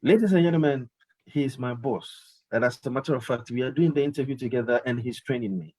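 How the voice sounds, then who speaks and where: Man, radio host, emphatic, repeats himself, mid pitch. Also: fast, warm tone, rough recording. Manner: emphatic, repeats his phrases. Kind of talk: live call-in radio hosting.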